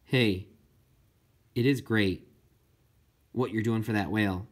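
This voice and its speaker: A man voicing a gentle brother in an audiobook, carefully reasoning and reassuring in a measured way. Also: on the low side. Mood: gently reasoning.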